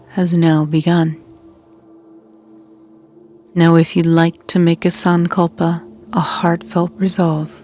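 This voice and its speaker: A woman leading a guided meditation as a yoga nidra guide, soothing and hushed, with soft breathy edges that fall near a whisper and long pauses. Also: on the low side.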